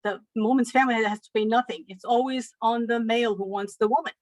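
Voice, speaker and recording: A woman from Afghanistan giving an educational presentation as a lecturer, measured and pausing often with ums. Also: a little high, steady tone, dry recording. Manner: measured, with frequent ums and pauses.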